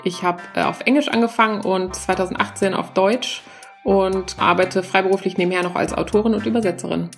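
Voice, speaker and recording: A woman's voice: mid-range voice, steady tone, a little fast, gravelly, faint music behind. A woman in her forties, a stand-up comedian, talking loosely and casually about her work and mixing in English words. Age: 40s. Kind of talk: casual interview talk.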